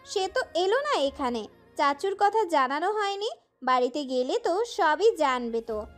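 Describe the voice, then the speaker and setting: A woman's voice: sits high, sing-song, a little slow, faint music behind, pitched above the performer's own voice. A woman voicing a frantic young wife who weeps and begs in a desperate rush of pleas. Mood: anxious, puzzled. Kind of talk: storybook character voice.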